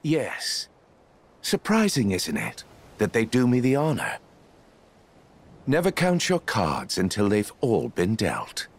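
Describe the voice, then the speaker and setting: An actor playing a smug, scheming villain who speaks in a condescending, sneering way. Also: male voice, on the low side, wildly swooping pitch, takes it slowly, pauses often, exaggerated voice.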